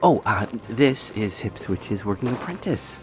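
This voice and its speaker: A man's voice: lowish voice, animated, measured pace, music in the background. A man voicing a nervous, polite, apologetic doctor who stammers and hesitates in a fantasy audio roleplay. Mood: polite, flustered. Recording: noticeable background noise and ambience.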